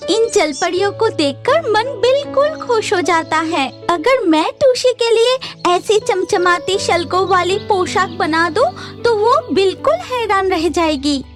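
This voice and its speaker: A woman voicing an earnest young cartoon weaver bird, sweet and expressive, with music behind her. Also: very high-pitched. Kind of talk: cartoon character acting.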